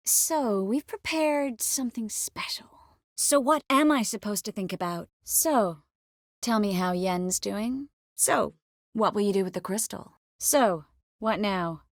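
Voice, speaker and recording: An actress playing a young sorceress in a fantasy video game, caring, wry and passionate, with an expressive, clearly articulated, intimate delivery. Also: female voice, medium pitch, dry recording, clean studio sound, close to an everyday voice.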